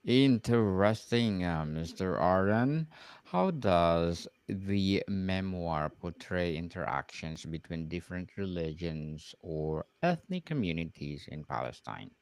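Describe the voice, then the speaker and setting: A man's voice: low voice, sing-song, slow pace, darkish tone, clean tone, no echo. A man hosting a book podcast who asks his questions formally and politely, calling his guest mister. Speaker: podcast host. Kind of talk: interview hosting.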